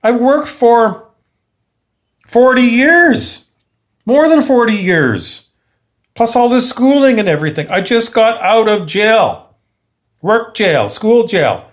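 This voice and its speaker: A man's voice: very high voice, animated, takes it slowly, long pauses, voice pushed up, full character voice. A man acting out a defiant sixty-five-year-old retiree who is insistent and dismissive, in an informal monologue.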